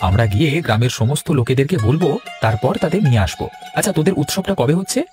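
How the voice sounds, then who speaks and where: A man's voice: medium pitch, animated, fast, natural voice. A man playing a kindly, hearty village uncle in a cartoon, reassuring and avuncular. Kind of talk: cartoon voice acting. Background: instrumental music.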